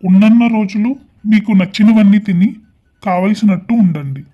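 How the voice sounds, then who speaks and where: A man's voice: high-pitched, moderate pace. A man playing plain-spoken male characters in a cartoon moral story, explaining things simply. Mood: grave. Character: young son and a doctor in a cartoon moral tale. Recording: dull, muffled lo-fi sound.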